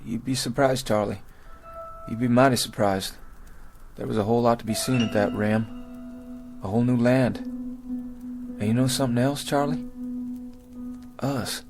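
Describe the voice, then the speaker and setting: A man's voice: mid-range voice, unhurried, long pauses, music in the background, close to an everyday voice. An actor playing a bewildered pioneer man from the 1800s who stammers and halts anxiously, in a 1960s television drama. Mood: solemn. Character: frightened 1800s pioneer man lost in time.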